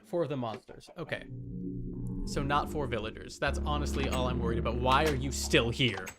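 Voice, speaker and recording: A man's voice: medium pitch, moderate pace. A man livestreaming a video game, casually commenting and grumbling to himself as he talks to his chat. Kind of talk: casual gaming stream commentary, talking to chat. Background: music.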